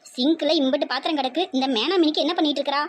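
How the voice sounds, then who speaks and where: A woman acts out a bossy older village mother-in-law, high-voiced and nagging. She scolds sarcastically with a southern Tamil Nadu accent, in the style of a domestic comedy skit. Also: very fast, lightly acted. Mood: nagging, indignant.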